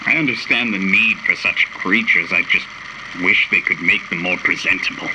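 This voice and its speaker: A man playing a haughty, cultured tycoon who delivers a pompous, deliberate radio drama monologue. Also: sits low, noisy background, lightly acted. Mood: disdainful.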